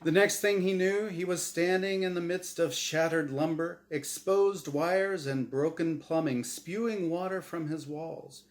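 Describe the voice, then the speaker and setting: A man's voice: on the high side, unhurried, few pauses. A man reading his own fiction aloud as a dramatic scripted narration. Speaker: author reading his own short story.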